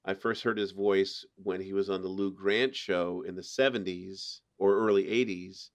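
A middle-aged man with a somewhat low voice hosts a podcast. He talks casually, sharing his opinions in an informal review chat. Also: steady tone, normal speed. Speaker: podcast host.

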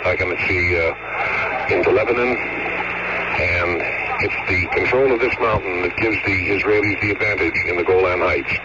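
A man reports from the field as a foreign correspondent in a lowish voice. The radio recording is dull and very noisy. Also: fairly even intonation, measured pace. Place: outdoors on a mountain.

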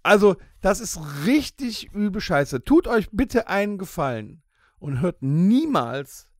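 Male podcast host, high voice, emphatic blunt ranter, very dry close sound. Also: sing-song, a little slow, a little mellow, no echo. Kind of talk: casual banter.

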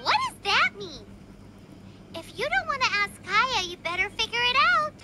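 A woman voicing a small, excitable, childlike fairy companion in a video game, squeaky and cutesy. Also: moderate pace, very noisy. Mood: chatty. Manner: cutesy, exclaiming, speaks of herself by name.

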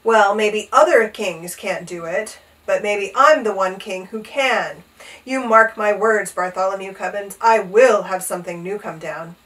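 A woman's voice: mid-range voice, measured pace, a bit bright, distant mic, natural voice, low-quality recording. A woman voicing a short-tempered, pompous old king in a children's storybook, growling out commands. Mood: imperious rage. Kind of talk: animated children's storybook read-aloud.

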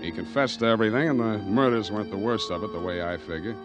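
An actor playing a wisecracking, cynical private detective, terse and sardonic, in 1940s radio drama style. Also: male voice, fairly low pitch, fast, music in the background, lightly acted. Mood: wry narration.

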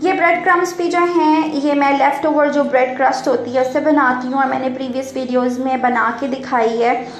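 A high-pitched woman, talking viewers through a recipe in a relaxed, chatty way. Her voice bounces around an echoey home kitchen. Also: fairly quick, slight background noise. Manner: chatty, explains steps to viewers. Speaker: home cooking vlogger. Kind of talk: casual cooking voice-over.

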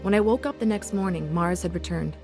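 A woman's voice: lowish voice, fairly quick, natural voice. An actress playing a frightened young woman in spiritual torment in an audio drama. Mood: haunted, reflective. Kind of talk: audio drama acting with first-person narration. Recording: music playing behind the voice.

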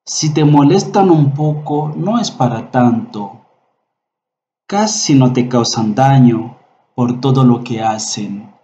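A middle-aged man from the Democratic Republic of the Congo, a professor whose first language is Swahili, speaking slowly with many fillers as he introduces and recites a short poem from a webcam in a small room. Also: mid-range voice, warm tone, roomy sound, highs cut off, a little hiss or hum. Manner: slow, hesitant speech with frequent fillers. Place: small indoor room at a desk.

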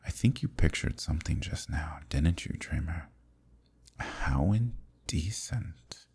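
Man voicing a very deep, husky ancient villain. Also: lively intonation, a little mellow, exaggerated voice. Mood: teasing. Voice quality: very deep, husky, gravelly, breathy. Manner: unhurried, musing, wry, formal wording. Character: ancient eldritch villain, male, weary, wryly teasing. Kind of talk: ASMR roleplay, intimate monologue.

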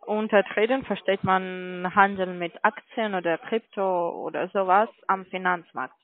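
A woman's voice: mid-range voice, fairly even intonation, measured pace, no echo. A woman on a sales call, formal, polite but firm. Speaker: call centre sales agent. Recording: narrow phone-line audio.

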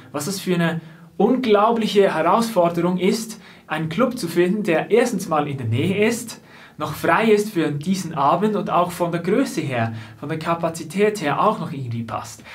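A young man tells a tour story straight to camera in a small indoor room. His voice sits high for a man, and he talks calmly in an explanatory way, slipping in soft laughs. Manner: calm, explanatory, soft laughs between phrases. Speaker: drummer and vlogger. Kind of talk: seated piece-to-camera storytelling. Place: small indoor set with lamp and painted backdrop. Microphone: fixed camera, seated framing.

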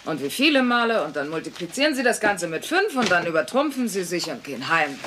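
Low-voiced, sardonic sitcom mom. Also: female voice, very animated, fast talker, fairly bright tone, a little hiss or hum. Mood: dry, sardonic. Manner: dry, wisecracking. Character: sardonic working-class mother, boastful and bossy. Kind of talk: German dubbed sitcom acting.

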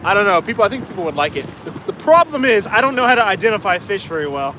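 A young man with a fairly high voice chats easily on a live stream, laughing as he goes, his voice going a little hoarse. He talks into a phone held at arm's length in an open boat. Loud wind and water noise sit under every word. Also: big pitch swings, fast, low-quality recording. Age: young adult. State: losing his voice. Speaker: host. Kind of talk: casual live-stream chat. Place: small inflatable boat on a lake, outdoors. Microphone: phone camera held at arm's length.

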